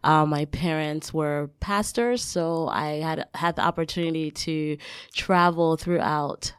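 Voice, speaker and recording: A woman from Liberia with a low, smooth, clear voice tells her story as a podcast guest. She sounds earnest and reflective, breaking into light laughs now and then. The sound is dry and close. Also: a little slow, no room sound. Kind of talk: conversational storytelling.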